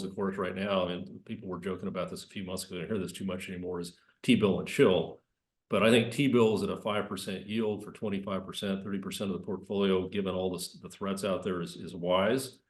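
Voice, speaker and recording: A man with a lowish voice, a little rough from a cold, talks through market commentary. He rambles and restarts as he goes, and his voice carries a roomy echo. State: has a cold. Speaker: investment presenter.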